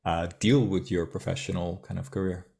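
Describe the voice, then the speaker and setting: A man's voice: sits low, steady tone, a little fast, gravelly, darkish tone. A man hosting an interview, asking hesitant questions with fillers. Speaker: podcast host.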